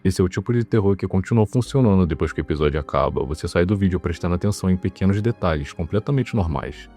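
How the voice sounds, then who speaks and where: A Brazilian man hosting a video essay, narrating from a script in a measured, explanatory way and giving his opinions. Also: deep voice, expressive, mellow. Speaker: video host presenting a ranking. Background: background music.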